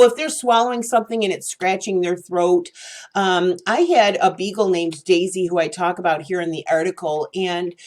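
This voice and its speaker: A woman's voice: mid-range voice, darkish tone. A woman hosting a pet care channel, giving casual advice in a rambling, chatty way with many fillers.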